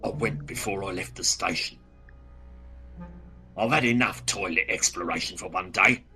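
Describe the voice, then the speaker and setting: An actor playing a gruff, crude, cynical male police detective, talking in a dry, sarcastic way. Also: sits low, normal speed, sharp tone, soft background music, exaggerated voice. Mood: grumbling.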